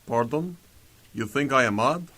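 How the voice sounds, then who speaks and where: Guy acting a calm polite strongman superhero, formal, middle pitched voice. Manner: measured, formal. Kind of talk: superhero audio drama acting.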